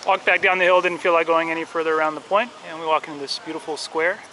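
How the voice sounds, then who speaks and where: A man's voice: a little high, fairly even intonation, fast talker, bright, forceful tone, a little hiss or hum, low-quality recording. A man in his thirties rambling casually with many fillers as he films a travel vlog, on an action camera held at arm's length outdoors. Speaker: travel vlogger. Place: outdoors on a ship deck and in town.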